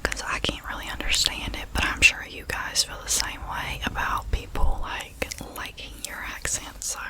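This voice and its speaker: A young woman with a Southern US accent, whispering softly to her subscribers in an ASMR video. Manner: soft unvoiced whisper, slow and drawn out. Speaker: YouTuber.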